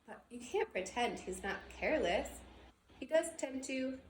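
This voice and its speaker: A woman's voice: sits high, lively intonation, a little slow, pitched above the performer's own voice. Woman as a stern prim professor, echoey room. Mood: grudging. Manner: prim, scolding. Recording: echoey room with background noise.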